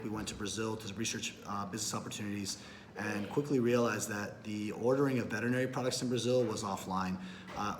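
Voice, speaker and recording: Brisk low-voiced man from Peru. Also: a little hiss or hum. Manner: brisk and businesslike, with fillers and self-corrections. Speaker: camp applicant, entrepreneur. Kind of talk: prepared self-introduction to camera.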